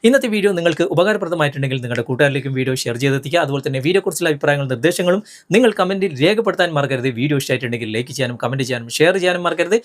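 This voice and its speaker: A man's voice: on the high side, lively intonation, rapid-fire. A man with a Malayali accent hosting a tech tutorial, explaining phone steps in an instructional, step-by-step way straight to viewers.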